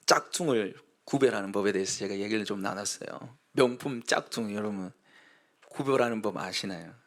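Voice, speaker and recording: A man who is a pastor, preaching a sermon in a storytelling way, acting out quoted voices and building to emphatic exhortation. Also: fairly low pitch.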